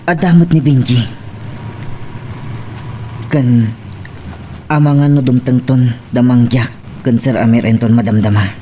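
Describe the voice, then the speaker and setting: A man's voice: medium pitch, steady tone, measured pace, pauses often, mellow, close to an everyday voice. A man with an Ilocano Filipino accent acting a brooding, lovesick man in a romance drama. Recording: faint background noise.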